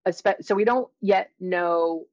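A woman's voice: lowish voice, takes it slowly, a little mellow, no room sound, dull audio. A woman speaking as an expert guest in an interview, explaining science carefully and precisely with qualifications. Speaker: guest, evolutionary biologist and author.

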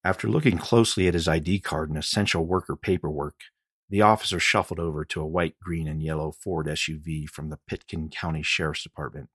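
A man with a husky voice reading scripted audiobook narration as the author of the story. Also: a bass voice, steady tone, a little fast, fairly bright tone, no room sound, studio quality.

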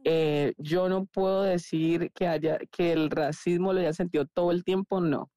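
Mellow Colombian woman in her thirties. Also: fairly low pitch, steady tone, measured pace, no echo, clean studio sound. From Cali, Colombia. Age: 30s. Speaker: podcast guest. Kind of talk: casual conversational interview.

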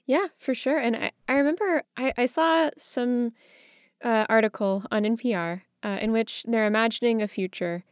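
A woman's voice: a little high, normal speed, clean tone, dry recording, clean studio sound. An American woman hosting a casual interview, speaking in a reflective way with tentative phrasing. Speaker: interviewer, host.

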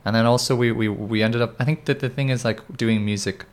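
A man's voice: mid-range voice, steady tone, fairly quick. A man talking as a band member in a casual interview, rambling with many restarts and fillers.

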